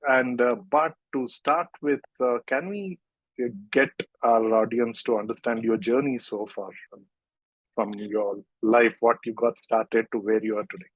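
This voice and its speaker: A man's voice: medium pitch, fairly even intonation, no echo. Hesitant podcast host man, muffled sound. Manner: halting with frequent uh fillers. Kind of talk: hesitant interview introduction.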